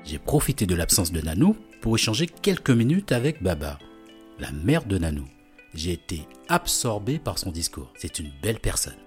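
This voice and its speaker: Male host, low voice with a lot of movement in it, friendly and curious, soft music underneath. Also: sing-song, moderate pace. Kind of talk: podcast interview and narration.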